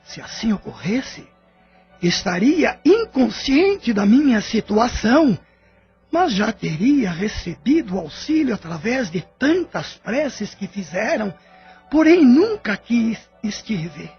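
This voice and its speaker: A man's voice: high-pitched, very animated, heavily acted. A man with a Brazilian accent voicing the emotional spirit of a loving old father, speaking tearfully in an audio drama. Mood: tearful, earnest. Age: older. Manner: slow, tearful, heartfelt delivery. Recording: slightly muffled, music behind.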